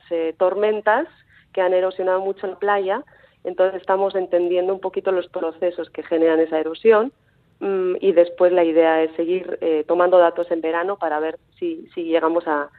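A Basque-born woman in her thirties with a Mexican-tinged Spanish accent, a coastal researcher speaking hesitantly with many fillers and restarts. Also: on the low side. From Basque Country. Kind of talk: phone interview. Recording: tinny phone line.

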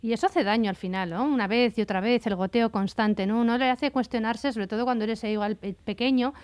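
A woman radio host asking measured, thoughtful questions in an interview. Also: on the high side, a little mellow.

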